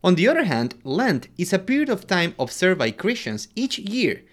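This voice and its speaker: Animated man from Ecuador co-hosting. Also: moderate pace. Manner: animated, expressive delivery with wide pitch swings. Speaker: podcast co-host. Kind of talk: scripted podcast narration.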